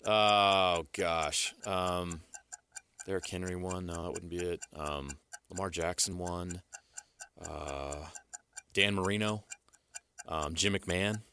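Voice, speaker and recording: A man's voice: low voice, steady tone, slow, pauses often, pushed and bright, slight background noise. An American man co-hosting a sports radio show in casual chat, terse and thinking aloud.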